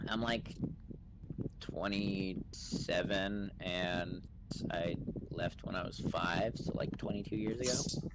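A man's voice: sits low, fairly even intonation, takes it slowly. A man playing an easygoing, laconic sniper in his late twenties, answering hesitantly in an improvised game roleplay. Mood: laid-back. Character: easygoing, laconic 27-year-old male sci-fi sniper. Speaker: Star Wars roleplay player. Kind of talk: improvised in-character gaming chat. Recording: noisy gaming voice chat.

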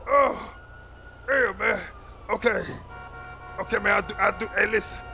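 A man playing a captured young gang member who begs and yells in a frantic voice. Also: sits high, measured pace, soft background music, heavily acted. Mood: pleading. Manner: pleading, frantic, yelling.

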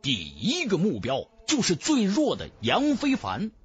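A man's voice: high-pitched, huge pitch swings, unhurried, breathy edge, no echo. A man narrating a fantasy novel in a theatrical, emphatic style, as a scripted audiobook.

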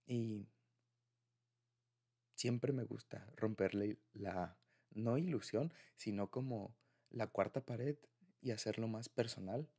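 Casting: Mexican man, mid-pitched with a gravelly fry, for casual, intimate boyfriend-roleplay audio; affectionate, loose delivery. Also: dark tone. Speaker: ASMR roleplay creator playing a boyfriend.

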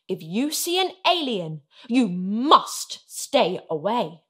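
Woman as a cautious storybook mum, slow and deliberate. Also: medium pitch, very animated, sharp tone, breathy edge, heavily acted. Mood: warning. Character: cautious, protective human mother of a girl. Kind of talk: children's storybook character voice.

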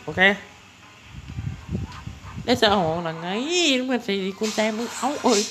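A woman dubbing an excitable, bumbling male cartoon cat, full of grunts and exclamations. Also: medium pitch, moderate pace, over music, natural voice. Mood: agitated. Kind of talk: improvised comic cartoon dubbing.

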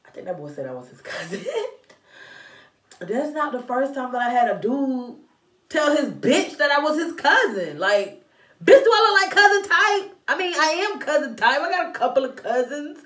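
A young woman telling a story in a vlog, sassy and animated, filmed by a camera in a small room at home. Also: high-pitched, normal speed, roomy sound, recorded from a distance. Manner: animated and sassy, waving her arms. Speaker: vlogger. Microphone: camera in front of her.

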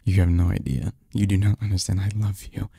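A man acts out a lovestruck young man in an intimate ASMR romance. His voice is lowish, very dark and soft, with a husky grain. He murmurs haltingly, stammering through his confession, close and dry. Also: dry recording, heavily acted. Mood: earnest, pleading. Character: lovestruck young male research assistant confessing to his best friend. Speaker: audio roleplay performer.

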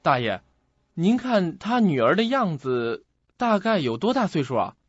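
Actor as an anxious young man, widely swinging pitch. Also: sing-song, measured pace, slightly rough, no room sound, exaggerated voice. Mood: agitated, questioning. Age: young adult. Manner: dramatic, hesitant, self-questioning. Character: anxious, puzzled young man searching for answers. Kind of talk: radio drama acting. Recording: muffled lo-fi audio, music behind.

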